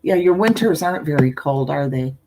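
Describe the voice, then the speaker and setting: An older woman with a husky voice and a folksy, meandering manner, talking as a storyteller hosting her own live stream. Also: low voice, expressive, a little fast, noisy background. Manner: folksy, meandering, often trailing off and repeating. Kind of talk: casual rambling chat with viewers; reading a spooky story aloud.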